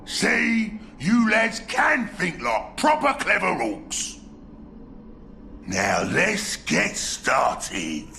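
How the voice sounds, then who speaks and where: An actor playing a brutish, bossy, scheming orc warboss in a game cutscene, with a gravelly Cockney voice, crude and gloating. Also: male voice, high-pitched, huge pitch swings, drawn-out words, slight background noise, exaggerated voice. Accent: Cockney English. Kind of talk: video game cutscene acting. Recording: loud music behind. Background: loud music.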